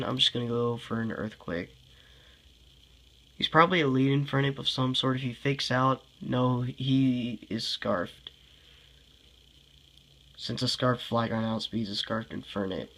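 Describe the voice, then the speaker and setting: A congested young man talks through his game moves at an unhurried, drawn-out pace. He thinks aloud, sighs often and sounds fed up, with a pitch that sits in the middle. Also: a bit bright, low-quality recording. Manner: sighing, frustrated, thinking aloud. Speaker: gameplay commentator. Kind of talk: casual game commentary.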